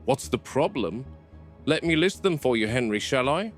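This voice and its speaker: A man's voice: medium pitch, very animated, normal speed, faint music behind, lightly acted. A man playing a stern, suspicious game warden with a clipped, sardonic delivery in a horror film. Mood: suspicious, exasperated. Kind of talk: found-footage horror film acting. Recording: noisy found-footage style audio.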